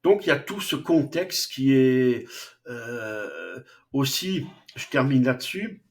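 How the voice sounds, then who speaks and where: Slow-talking hesitant male lecturer. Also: medium pitch, big pitch swings. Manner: slow, hesitant, frequent fillers and restarts. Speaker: philosophy lecturer. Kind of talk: unscripted lecture.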